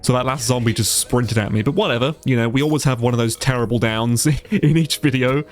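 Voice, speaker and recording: A man's voice: mid-range voice, a little fast, faint music behind. A man with a stuffy, congested nose giving casual, sweary let's play commentary and sounding easily amazed. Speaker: gaming YouTuber, player.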